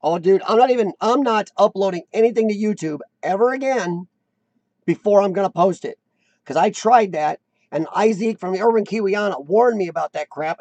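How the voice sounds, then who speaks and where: A man hosts a casual live-stream chat, rambling and self-deprecating as he doubles back on his sentences. His voice sits fairly high with a gravelly rasp, and it is recorded dry and close with no room around it. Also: a little mellow, no echo. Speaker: host of a live chat show.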